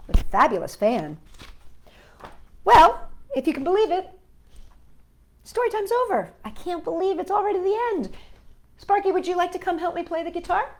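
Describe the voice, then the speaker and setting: Children's storytime host, female, high voice, slow delivery, sing-song address to little kids. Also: darkish tone. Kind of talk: warm-up chat and picture-book reading for young children.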